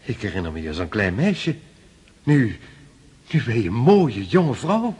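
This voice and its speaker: Husky, expressive young lieutenant. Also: male voice, mid-range voice, a little slow, exaggerated voice. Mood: surprised, tender. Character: courteous, reserved young army lieutenant, faintly wistful. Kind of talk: radio drama acting.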